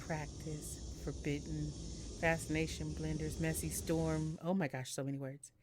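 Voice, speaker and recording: Slow, low-pitched woman reading game text. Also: little pitch movement, background noise. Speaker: video game streamer. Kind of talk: casual let's-play, reading on-screen game text aloud. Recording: music playing behind the voice. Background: game music.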